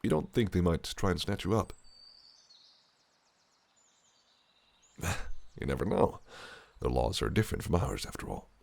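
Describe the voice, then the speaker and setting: A man's voice: deep voice, big pitch swings, a little fast, gravelly, darkish tone, exaggerated voice. A man voicing a possessive, cunning fae prince in an audio roleplay, speaking in a silky, teasing, menacing way. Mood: ominous. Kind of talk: listener-directed audio roleplay.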